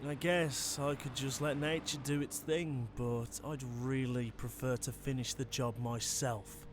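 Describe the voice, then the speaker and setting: A man's voice: medium pitch, steady tone, unhurried, background noise, natural voice. A man playing a taunting, impatient monster hunter with a casual, sardonic menace, in a dramatic ASMR audio roleplay. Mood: smug, taunting.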